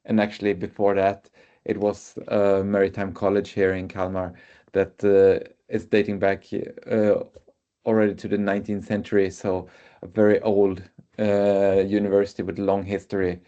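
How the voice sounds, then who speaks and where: A man's voice: sits low, level tone, normal speed, mellow. A man with a Swedish accent, a native Swedish speaker, presenting his university in a webinar with many hesitant filler sounds. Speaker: university representative. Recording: muffled webinar audio.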